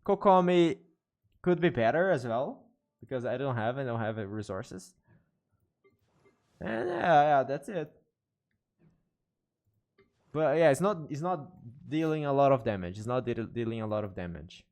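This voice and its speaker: A man, a native Portuguese speaker with an accent, chatting casually as a game streamer in halting, repetitive phrases. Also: medium pitch, animated, a little mellow. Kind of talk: casual live stream chat.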